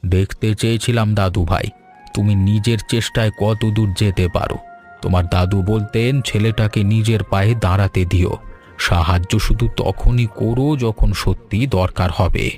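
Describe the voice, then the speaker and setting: Casting: male actor as kindly old mentor in a cartoon drama, solemn, unhurried, lowish, music underneath. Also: steady tone, a little mellow. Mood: tender, solemn. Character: gentle, wise old village elder, kindly mentor. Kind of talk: animated family drama dialogue. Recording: music behind.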